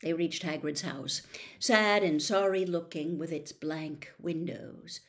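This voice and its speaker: A woman's voice: low voice, slow pace. A woman reading a children's fantasy novel aloud as a storybook narrator. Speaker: audiobook reader.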